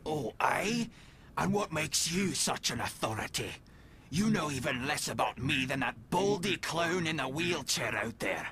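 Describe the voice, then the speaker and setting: A man's voice: a little high, expressive, measured pace, pushed and bright, slight background noise. A man playing a taunting, spiteful teenage Scottish mutant villain with a mocking swagger in a motion comic.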